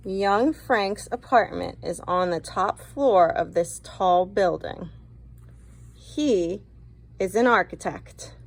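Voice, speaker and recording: Woman teacher doing a storybook read-aloud for kids, takes it slow, expressive, chats and asks questions along the way. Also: medium pitch, rough recording. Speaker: teacher reading a picture book aloud. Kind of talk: read-aloud for children with commentary asides.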